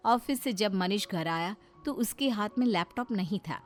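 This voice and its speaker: A woman's voice: medium pitch, normal speed, soft background music. A woman giving scripted narration of a story.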